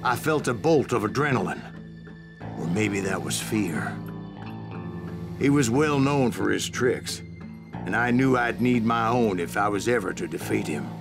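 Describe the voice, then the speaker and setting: An actor playing a grizzled old gunslinger with an American Western accent, spinning boastful, salty tales in a video game. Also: male voice, fairly low pitch, expressive, measured pace, vocal fry. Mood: dramatic, boastful. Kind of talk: dramatic storytelling narration. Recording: music behind the voice.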